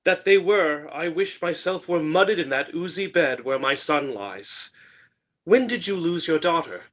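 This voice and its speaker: A man's voice: on the high side, animated, a little slow, a bit bright. A man reading the part of a grieving, bewildered shipwrecked king in an unrehearsed Shakespeare play, with dramatic declamation.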